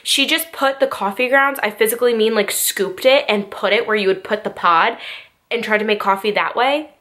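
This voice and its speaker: A woman's voice: medium pitch, moderate pace, pushed and bright. A young woman in her twenties vlogging casually to camera from her bedroom, a bit nasal from a cold, rambling with lots of fillers. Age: 20s. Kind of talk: casual vlog chat to camera. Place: small bedroom, indoors. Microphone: phone or camera at arm's length.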